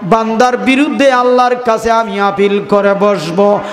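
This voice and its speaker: High-pitched Bangladeshi preacher man, heavy reverb. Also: steady tone. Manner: drawn-out, sing-song, exhorting delivery. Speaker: Islamic preacher. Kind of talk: fervent sermon with chanted passages and calls for audience response. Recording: long hall reverb, music-like sound behind.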